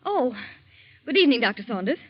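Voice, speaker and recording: An American actress playing an anxious, troubled wife in an earnest 1940s radio drama style. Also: female voice, on the high side, big pitch swings, fairly quick, dry recording. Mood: uneasy. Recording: noisy, muffled 1940s radio recording.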